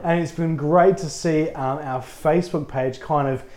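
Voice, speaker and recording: A man who is a pastor, co-hosting a casual podcast, a little husky from a cold, upbeat and chatty. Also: on the high side, fairly even intonation, unhurried, a little mellow. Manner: upbeat, chatty, laughs easily.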